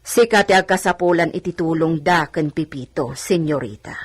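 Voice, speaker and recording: A woman's voice: sits low, normal speed, husky. A woman acting a respectful, matter-of-fact older village woman in a radio drama.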